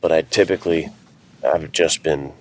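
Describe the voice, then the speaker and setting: A man's voice: a bass voice, steady tone, moderate pace, darkish tone, slight background noise. A man chatting casually as a podcast co-host and writer, giving practical advice in informal language.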